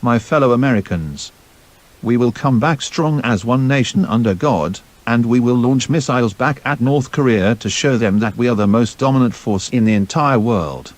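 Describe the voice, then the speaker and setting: A man's voice: mid-range voice, normal speed, natural voice. Man playing a solemn, defiant President. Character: solemn, defiant male President of the United States. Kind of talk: scripted presidential address.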